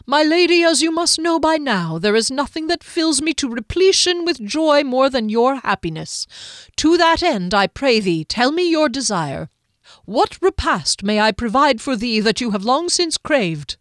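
A smug, courtly halfling man from a fantasy audiobook, acted out by a woman. The voice sits high and is delivered close and dry. Every phrase is ornate, archaic and grandly polite. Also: very animated, measured pace, no room sound. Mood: courtly, eager. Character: smug, courtly, flowery-tongued male halfling trickster.

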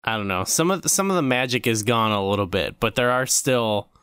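A man's voice: mid-range voice, expressive, a little fast, a bit bright, clean tone, dry recording. A man co-hosting a podcast in casual chat, laid-back and a little hesitant in how he talks. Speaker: podcast co-host.

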